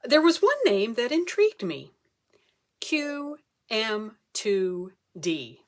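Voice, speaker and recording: A woman performing a comic story as a speech, theatrical, with dramatic pauses and emphasis. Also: fairly high pitch, big pitch swings. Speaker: club speaker telling a humorous tall tale.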